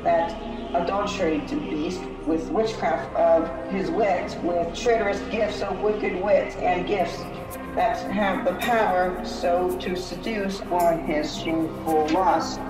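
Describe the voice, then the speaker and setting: A woman voicing the solemn, aggrieved ghost of a murdered king, speaking in slow, archaic, portentous phrases in a tabletop game. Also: fairly low pitch, steady tone. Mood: grave, commanding. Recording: echoey, distant, music underneath. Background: music.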